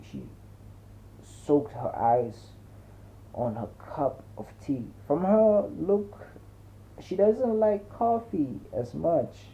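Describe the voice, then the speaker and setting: A man's voice: fairly high pitch, big pitch swings, extremely slow, mellow. A man narrating a dramatic first-person story in a colloquial, slangy way. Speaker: audiobook narrator. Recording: muffled, narrow phone-like sound.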